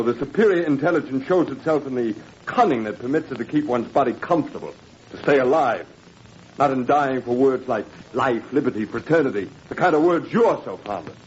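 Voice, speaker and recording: An actor in a 1940s radio drama playing a smug, menacing Nazi education official with a sardonic, mock-courteous manner. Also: male voice, medium pitch, animated, normal speed, lightly acted. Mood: condescending. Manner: silky, sardonic, mock-courteous, drawling hesitations. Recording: dull, noisy 1940s radio recording.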